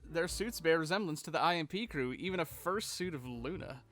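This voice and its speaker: A man co-hosting a fan breakdown, giving recap commentary in a playful, excitable and cheeky way. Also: fairly high pitch, fairly quick, soft background music. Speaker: co-host of a fan breakdown show.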